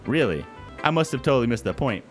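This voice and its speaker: Animated man doing let's-play commentary. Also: mid-range voice, quick pace. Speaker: gaming video host. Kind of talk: casual let's-play commentary and dialogue reading. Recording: game music under the voice. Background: game music.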